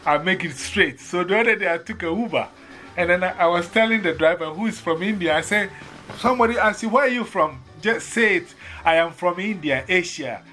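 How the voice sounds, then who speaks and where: A man's voice: high-pitched, brisk, sharp tone, faint music behind. A man from Ghana with a Ghanaian accent, telling stories in casual chat and acting out both sides of the conversations.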